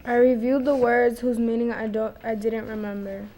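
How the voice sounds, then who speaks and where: High, even-voiced young teenage girl reading. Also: moderate pace, very noisy. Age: young teen, middle school student. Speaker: student reader. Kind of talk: dramatic reading aloud.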